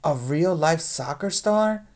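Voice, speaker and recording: A man voicing an excited, eager young girl in a storybook read-aloud. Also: a little high, takes it slowly, pitched above the performer's own voice. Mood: amazed.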